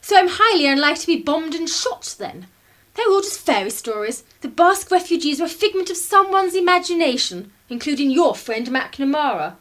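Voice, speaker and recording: A woman acting a headstrong young English daughter in a radio play. Her voice is high and swoops expressively. She is assertive, idealistic and quick to turn sardonic. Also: big pitch swings, a bit bright. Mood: sarcastic. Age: young adult. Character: defiant, idealistic eighteen-year-old English daughter, sharp-tongued.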